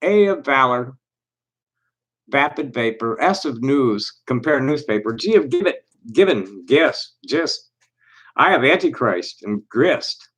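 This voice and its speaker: A man hosts a casual solo livestream. He reads aloud with slow, deliberate pauses, and when he chats his talk is halting and full of restarts. His voice sits at an ordinary middle pitch. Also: sing-song, a bit bright, poor audio. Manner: halting and rambling, many restarts. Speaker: livestream host.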